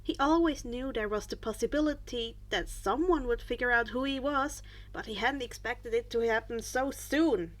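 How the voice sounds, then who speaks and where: A woman reading fan fiction aloud as an expressive story narrator. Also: a little high, moderate pace, hardly any pauses.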